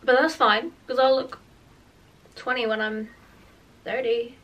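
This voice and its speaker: A woman's voice: on the high side, steady tone, unhurried, fairly bright tone, muffled recording. A twenty-year-old woman with a British accent, chatting informally to camera as a vlogger, often saying "like". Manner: informal and chatty, heavy use of "like". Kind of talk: casual get-ready-with-me chat to camera. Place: small room at home, indoors. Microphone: camera a metre or so away.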